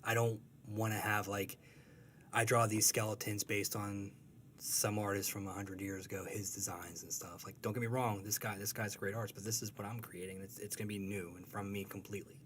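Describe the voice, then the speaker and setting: Laid-back guy, lowish voice, rambles n restarts, bit of hiss behind. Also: fairly quick. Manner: rambling, self-correcting, relaxed and laughing easily. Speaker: cartoonist guest. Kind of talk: casual conversational interview.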